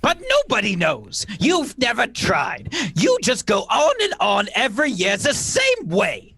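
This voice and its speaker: Man playing a high-voiced, defiant, animated young schoolmaster. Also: unhurried, creaky, slightly rough, no room sound, studio quality, exaggerated voice. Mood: impassioned pleading. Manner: impassioned, insistent. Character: defiant, reasoning schoolmaster pleading against village tradition. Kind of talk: amateur radio play reading.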